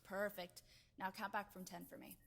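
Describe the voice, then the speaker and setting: An actress playing a brisk, reassuring clinic nurse in a radio drama, giving instructions in a businesslike way. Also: female voice, medium pitch, little pitch movement, creaky, a bit bright, slight background noise. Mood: brisk, soothing. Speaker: nurse or technician. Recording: long reverb.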